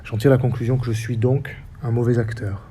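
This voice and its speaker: A man in his forties from the French Basque Country, with a faint Basque accent, giving a reflective public talk as a stage director in measured, short phrases. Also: medium pitch, steady tone, dark tone, slight background noise. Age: 40s. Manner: measured, list-like delivery with short paused phrases. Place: theatre stage or hall.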